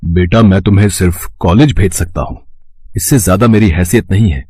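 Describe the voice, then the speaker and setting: A man playing a stern, protective middle-aged father in an animated moral story, firm and admonishing. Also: low voice, a little fast, breathy edge, no echo.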